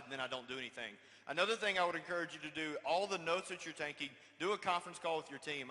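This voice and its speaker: A man in his twenties giving an energetic, punchy motivational speech on stage. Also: on the high side. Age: 20s. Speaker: speaker at a business event. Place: large hall on stage. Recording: reverberant hall via PA.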